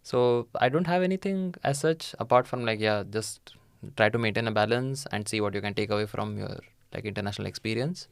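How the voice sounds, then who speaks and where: Indian man, smooth close voice. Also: on the low side, fairly quick, few pauses, dry recording. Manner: hesitant, lots of "like" and "uh" fillers. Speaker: podcast guest, master's student. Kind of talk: casual interview conversation.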